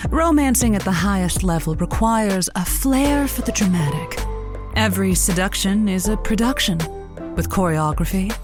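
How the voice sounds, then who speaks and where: An actress playing a sassy, flirtatious late-night radio host in a scripted audio comedy, with arch, teasing wordplay. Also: female voice, medium pitch, normal speed, over music, close to an everyday voice. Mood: sultry, theatrical.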